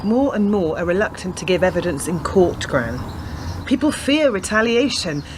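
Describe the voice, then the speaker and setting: A woman's voice: lowish voice, animated, moderate pace, close to an everyday voice. A woman playing an earnest, stubborn young policewoman in a radio play, speaking persuasively. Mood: earnest, insistent. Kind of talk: scripted radio play acting. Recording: community radio drama with clear background noise.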